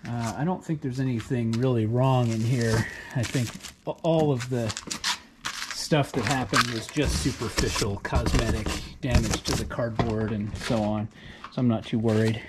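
A man casually narrating as he unboxes sawmill equipment in his garage, explaining each piece as he goes with relaxed fillers. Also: medium pitch, moderate pace, very noisy.

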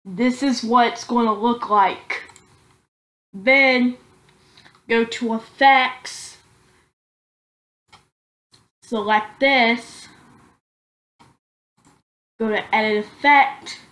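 A woman narrating a screen-recorded software tutorial, halting and correcting herself as she goes. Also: fairly high pitch, steady tone. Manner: slow, halting, self-correcting. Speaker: tutorial maker.

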